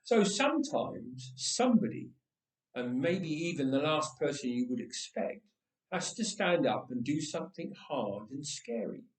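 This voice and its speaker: Male story reader, lively and slightly high-pitched, dramatic pauses and drawn-out phrases, recorded roomy and a little distant. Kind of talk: expressive read-aloud storytelling. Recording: echoey room, distant microphone.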